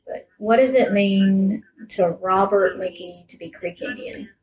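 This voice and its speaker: A woman with a mid-pitched voice works as a podcast interviewer. She speaks conversationally and without hurry, pausing between phrases as she forms her questions. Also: darkish tone, low-quality recording.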